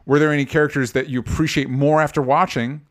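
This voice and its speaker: A man's voice: on the high side, flat delivery, fast. A man hosting a casual movie discussion show, speaking in a blunt, argumentative way with some swearing. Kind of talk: casual opinionated movie chat.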